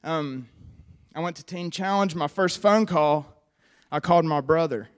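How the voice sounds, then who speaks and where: A man's voice: fairly high pitch, clear, resonant tone. Earnest young West Virginian man. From West Virginia, USA. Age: 20s. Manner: earnest, conversational, frequent "um" pauses. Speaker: recovery program member giving testimony. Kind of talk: personal testimony to a church audience.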